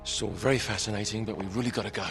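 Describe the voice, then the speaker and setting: Man acting as a low-voiced, smug British thief. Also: fairly even intonation, very fast, lightly acted. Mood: impatient. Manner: dry, teasing, self-assured. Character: smug, sardonic male thief with a sly streak. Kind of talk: video game cutscene acting. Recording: cinematic music behind the voice.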